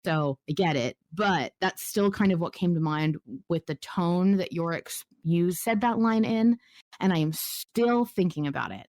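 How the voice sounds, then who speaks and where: A woman with a somewhat low-set voice hosts a casual fan chat. She is effusive and slangy and often steps aside from her own point. The sound is dry, with no room on it. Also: measured pace, a little mellow, dry recording. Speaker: podcast host.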